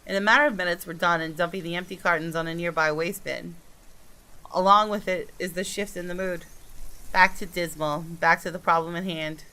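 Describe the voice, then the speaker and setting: A woman narrating visual novel text aloud in a measured, dramatic, expressive way. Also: lowish voice, rough recording. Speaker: gaming video creator reading a game aloud.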